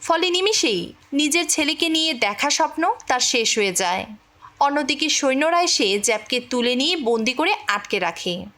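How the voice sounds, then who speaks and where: High-pitched woman narrating a story. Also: bright, forceful tone. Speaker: movie recap narrator. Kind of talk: scripted story narration of a film plot.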